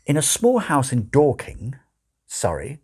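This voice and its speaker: A man narrating a story as an audiobook reader, with a wry, measured and expressive delivery. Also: mid-range voice, breathy edge.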